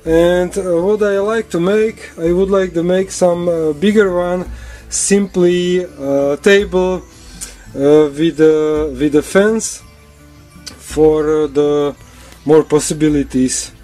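A man who is not a native English speaker walks through his drill press in a home woodshop, in halting English full of fillers and restarts. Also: fairly high pitch, fairly even intonation, warm tone, faint music behind. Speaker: woodworking hobbyist showing his drill press. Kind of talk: casual workshop walkthrough.

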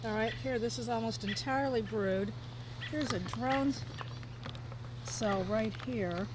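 A woman beekeeper calmly explaining what she finds as she inspects a hive outdoors, pointing out details for the camera. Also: on the high side, slow. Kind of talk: hands-on demonstration to camera. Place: outdoors beside beehives on a lawn. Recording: outdoor camera audio with clear ambient noise.

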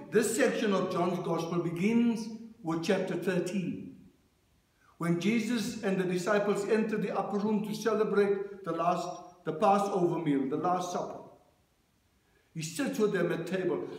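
Slow-speaking male minister in echoey church. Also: high-pitched. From South Africa. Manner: slow, measured reading cadence. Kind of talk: sermon with scripture reading. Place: church sanctuary at a lectern. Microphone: lectern microphone, far camera.

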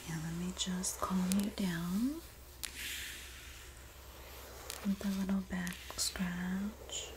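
A woman playing a sassy, supportive Jersey girl in an ASMR roleplay, speaking softly and often whispering in a breathy, doting, gossipy way with a New Jersey accent. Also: lowish voice, fairly even intonation, background noise. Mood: soothing. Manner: slow, gossipy, doting, dramatic emphasis.

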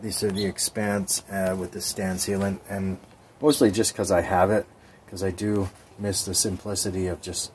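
A man's voice: low voice, little pitch movement, moderate pace, a little hiss or hum. A man in his forties casually narrating his bike build over clips, chatty with frequent ums. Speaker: cycling vlogger.

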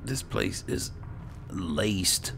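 A man's voice: low-pitched, lively intonation, very slow, gravelly, noisy background. A man doing in-character roleplay commentary on a game, muttering to himself in short phrases. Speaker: gaming video host playing in character.

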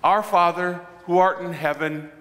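A man in his forties preaching a conversational sermon as a pastor, animated and joking with his congregation. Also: high-pitched, unhurried. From Midwestern United States. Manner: animated, gestures broadly, jokes with the congregation. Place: large church sanctuary. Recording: long church reverb.

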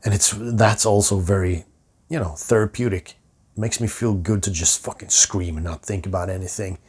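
A middle-aged man with a hoarse voice, rambling and swearing through a casual rant about his music to the camera. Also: deep voice, normal speed, a little mellow. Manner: rambling, profane, self-interrupting. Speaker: metal musician and vlogger.

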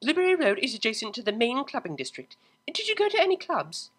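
A woman's voice: a little high, wildly swooping pitch, quick pace, fairly bright tone, husky, pitched above the performer's own voice, full character voice. A woman voicing a proper young female pony cellist who speaks in formal, precise wording. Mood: alarmed. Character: prim, proper young mare cellist, worried.

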